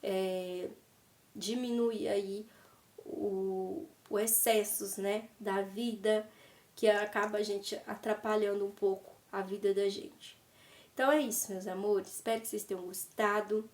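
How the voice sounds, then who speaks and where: A woman's voice: medium pitch, fairly even intonation, measured pace, darkish tone. A Brazilian woman vlogging casually about fashion, a bit congested from a cold, chatty and tagging her sentences for viewers, at home by her wardrobe. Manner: chatty, tags sentences with "né?" and addresses viewers as "gente". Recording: roomy, distant from the mic.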